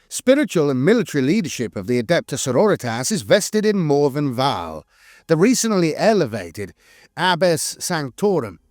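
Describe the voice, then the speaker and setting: A man reads scripted lore narration in a measured, solemn way, with dramatic emphasis on key phrases. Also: fairly high pitch, no echo, clean studio sound.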